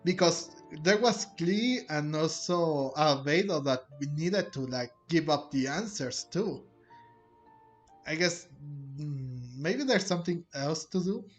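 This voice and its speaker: A man's voice: on the high side, expressive, slow. A man giving casual, improvised game commentary in a hesitant, puzzled way, with music behind him. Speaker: gaming video commentator.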